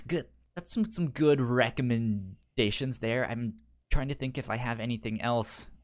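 A man's voice: on the low side, smooth. A man with a pronounced stutter, chatting casually as a podcast co-host and reading synopses aloud. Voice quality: pronounced stutter with repeated sounds and syllables.

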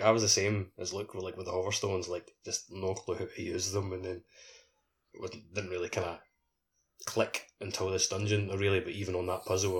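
A man with a deep voice chats on a gaming podcast as a co-host. His delivery is loose and informal, like a relaxed conversation between players. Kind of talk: casual chat about gaming.

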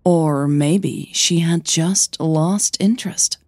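Very slow, mellow, breathy woman storyteller. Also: deep voice, no echo, studio quality. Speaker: narrator and podcast creator. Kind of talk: scripted audio fiction narration.

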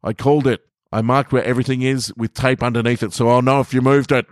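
A man voicing an angry, pedantic male housemate in an audio short story, accusatory, halting and emphatic. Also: medium pitch, steady tone, no room sound, studio quality, pitched above the performer's own voice, close to an everyday voice. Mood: outraged, insistent.

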